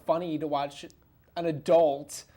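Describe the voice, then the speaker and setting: A man's voice: a little high, level tone, unhurried, dark tone. A young man in casual review chat as a show co-host, joking and self-deprecating. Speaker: film review show co-host and quiz contestant.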